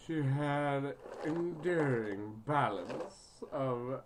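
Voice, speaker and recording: A woman's voice: a bass voice, extremely slow, very noisy. A foul-mouthed woman reading a game aloud with casual, crude joking and stumbles over the words. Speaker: gaming video host.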